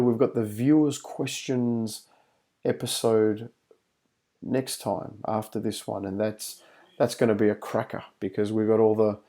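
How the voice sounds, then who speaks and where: A man's voice: fairly low pitch, dark tone. A man hosting a podcast, chatting casually and rambling with lots of fillers and restarts over a video call. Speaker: podcast host. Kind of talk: casual chat.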